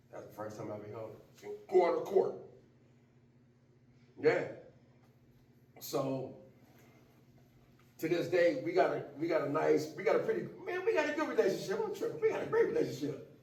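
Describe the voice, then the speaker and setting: A man in his fifties giving a casual talk to a class, animated and often laughing as he jokes with the audience. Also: a little high, normal speed, pauses often, distant mic. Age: 50s. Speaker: motivational speaker. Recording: echoey room, from afar, faint noise.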